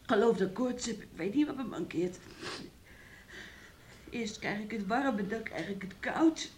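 A woman's voice: mid-range voice, normal speed, darkish tone, natural voice. An actress in a radio play, playing a frail, sick, submissive woman with a hesitant, childlike plaintive manner. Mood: feverish pleading. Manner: hesitant, plaintive, childlike third-person speech.

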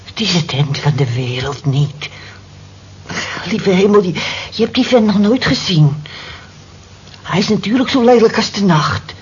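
A woman's voice: mid-range voice, expressive, unhurried, long pauses, darkish tone, lightly acted. An actress playing a blunt, motherly female workmate in a radio drama, practical and consoling, frank and coaxing in manner. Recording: muffled, noisy old radio play recording.

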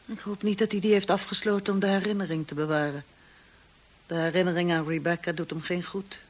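An actress as a frank upper-class sister-in-law in a radio play. Her voice is husky and on the low side, and she speaks directly, probing and briskly saying what she thinks. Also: steady tone, natural voice. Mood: disapproving. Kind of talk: radio drama acting.

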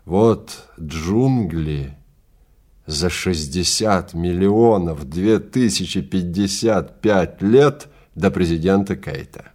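Stern expedition leader, played by a male narrator, low voice, authoritative and emphatic, audio drama. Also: takes it slowly, darkish tone. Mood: solemn. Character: stern, commanding male time-safari guide.

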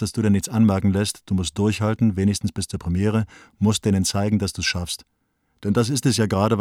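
Actor plays encouraging bohemian male letter writer, radio drama; lowish pitch, colloquial, dry studio sound. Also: darkish tone, dry recording, natural voice. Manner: colloquial, urging. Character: brisk, encouraging bohemian man writing to woman friend. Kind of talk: radio play letter monologue.

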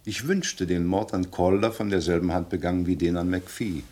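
An actor playing a sober, exacting detective who reasons formally and insistently in a radio crime drama. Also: male voice, low voice, moderate pace, darkish tone. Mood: grave, insistent. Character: sober male detective, professionally proud and exacting.

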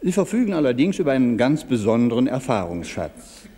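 A man's voice: mid-range voice, very animated, brisk, warm tone, breathy edge. A man playing a persistent, formal interviewer in a staged radio interview, probing and puzzled, recorded in a reverberant hall.

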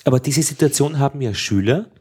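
A man's voice: medium pitch, fairly quick, a little mellow, slightly rough. An Austrian man with an Upper Austrian accent, a radio interviewer telling stories in conversation with many restarts. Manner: anecdotal, restarts often.

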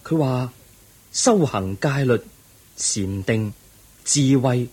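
An actor playing an earnest young crown prince in a Cantonese radio drama, speaking in a solemn, formal and measured manner. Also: male voice, mid-range voice, animated, a little mellow, slightly rough. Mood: yearning, resolute.

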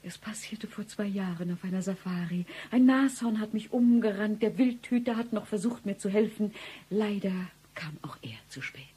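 An actress playing an eccentric, deadpan femme fatale in a satirical radio play, with a husky, breathy delivery. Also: female voice, medium pitch, normal speed. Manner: deadpan, dropping into breathy whispers. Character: blind woman job-seeker, eccentric deadpan femme fatale.

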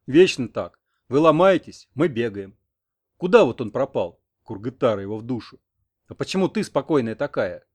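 A man's voice: mid-range voice, big pitch swings, moderate pace, darkish tone, lightly acted. A man voicing a grumpy, fretful old village grandfather in an audiobook, grumbling and indignant. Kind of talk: audiobook character reading.

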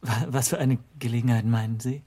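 An actor playing a guarded man under questioning in a radio play, husky, polite and evasive. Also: medium pitch, fairly even intonation, a little fast, warm tone, natural voice. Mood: wary, polite. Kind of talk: radio play acting with narration.

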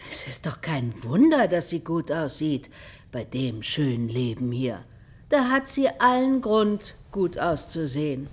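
A self-righteous older aunt in a period radio drama. Her voice is very low and husky, and she carps slowly, full of grudging indignation. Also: very animated, full character voice. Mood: indignant, grudging. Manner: carping, indignant. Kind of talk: German radio play acting.

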